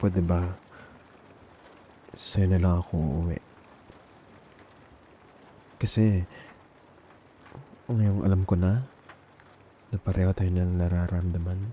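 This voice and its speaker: A man with a Filipino accent playing a nervous, tender young man in an intimate ASMR boyfriend roleplay, speaking haltingly and repeating words. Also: low-pitched, little pitch movement, mellow, dull audio, slight background noise. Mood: soft, intimate. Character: nervous, tender young man confessing love to male best friend. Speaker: ASMR audio roleplay performer.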